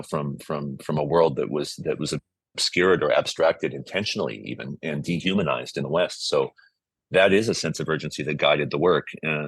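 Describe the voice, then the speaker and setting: A man's voice: very deep voice, breathy edge, dry recording. A middle-aged American man giving reflective interview answers, earnest and often searching for his words. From United States, San Francisco Bay Area. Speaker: interview guest, musician and music compiler.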